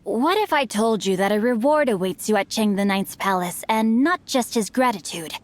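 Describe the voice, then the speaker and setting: A woman's voice: mid-range voice, animated, normal speed, close to an everyday voice. A woman voicing a witty, polite young male noble swordsman in a video game, speaking in a formal, courteous manner with dry teasing.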